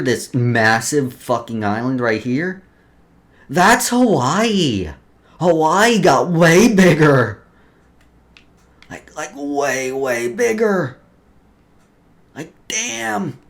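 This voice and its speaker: Casual male let's player, stumbling and joking. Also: medium pitch. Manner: stumbles over read text, joking asides; informal, sweary. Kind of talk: casual gameplay commentary mixed with reading lore aloud.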